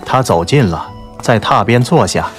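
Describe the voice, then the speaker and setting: A man's voice: fairly low pitch, animated, moderate pace, soft background music. A man reading scripted dramatic narration from a romance novel. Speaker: audiobook narrator.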